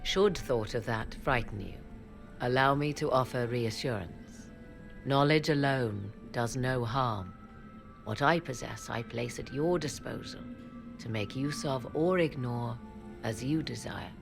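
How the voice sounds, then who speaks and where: A sardonic, aloof mage. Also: female voice, extremely low pitch, measured pace, gravelly, soft background music, heavily acted. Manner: formal, archaic phrasing with dry, teasing asides. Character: aloof, sardonic woman mage who deals in forbidden arts. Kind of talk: video game cutscene acting.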